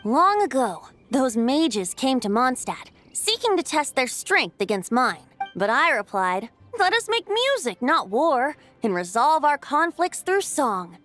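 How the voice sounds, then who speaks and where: A woman's voice: sits high, very animated, a little slow, few pauses, fairly bright tone, exaggerated voice. A woman voicing a youthful, breezy male wind god and bard in a video game, with a light boyish voice and a lilting, theatrical manner. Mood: nostalgic. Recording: music under the voice.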